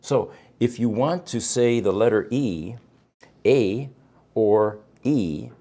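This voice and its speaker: A middle-aged man whose first language is German, hosting a casual morning show from a studio, halting with many ums. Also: medium pitch, warm tone. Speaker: show host. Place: studio set in front of a backdrop.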